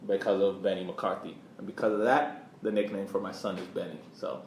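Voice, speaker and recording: A man's voice: low-pitched, steady tone, fairly quick. A man co-hosting a podcast, talking casually about sports. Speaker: podcast host. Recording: roomy echo, background noise.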